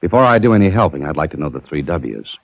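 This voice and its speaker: A breezy adventurer hero of vintage radio drama, speaking in a deep, polished voice with wry curiosity. His delivery swings expressively through a dull, noisy old recording. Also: very animated, quick pace, dry recording, full character voice. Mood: wry, bantering. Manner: wry, bantering, polished storytelling. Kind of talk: 1940s radio drama acting with first-person narration.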